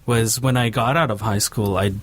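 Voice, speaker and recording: A man's voice: medium pitch, steady tone, normal speed, slight background noise. A middle-aged man with a Canadian accent, talking casually as an interview guest in a rambling, hesitant way peppered with "you know". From Vancouver, Canada. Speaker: podcast guest, filmmaker.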